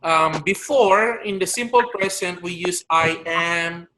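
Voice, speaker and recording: A man teaching an online English grammar lesson, slowly drilling verb forms pronoun by pronoun over a video call. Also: sits high, bright, forceful tone, faint music behind, rough recording.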